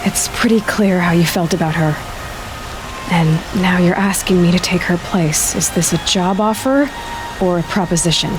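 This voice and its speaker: A young female huntress from a video game, played by an actress, with a slightly low voice. She is frank, determined and level-headed, and there is clear ambient noise behind her. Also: normal speed, lightly acted. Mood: frank, pointed. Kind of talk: video game dramatic dialogue.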